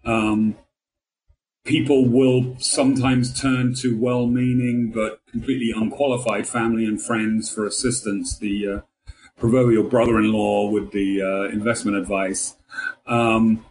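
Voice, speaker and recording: Male interview guest, financial planner, lower-pitched voice, articulate and measured in delivery. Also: level tone, a little mellow, low-quality recording. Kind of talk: radio interview answers.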